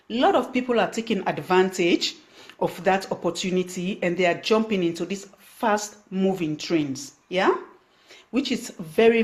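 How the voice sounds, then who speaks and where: A woman from Sierra Leone with a Sierra Leonean accent, speaking English as a second language, giving business tips on a live stream and stopping to thank viewers by name. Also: mid-range voice. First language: Krio. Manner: thanks viewers by name, many fillers and restarts. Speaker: online business coach. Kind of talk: Facebook live stream talk.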